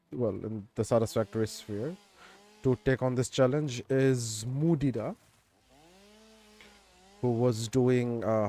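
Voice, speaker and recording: A man streaming a game, chatting in a laid-back, rambling way with lots of fillers, his voice a bit raspy. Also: medium pitch, mellow. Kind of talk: casual game stream chat.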